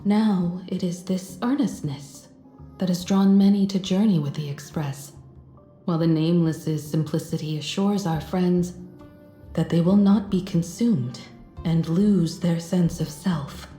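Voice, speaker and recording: An actress playing a poised, diplomatic space traveler in a video game, speaking politely and deliberately with earnest self-assurance. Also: female voice, fairly low pitch, warm tone, roomy sound, soft background music, natural voice. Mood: earnest, persuasive. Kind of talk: scripted video game character dialogue.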